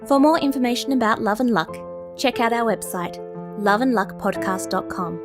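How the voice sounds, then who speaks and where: A woman's voice: on the high side, normal speed. A woman reading scripted podcast credits as the announcer. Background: music.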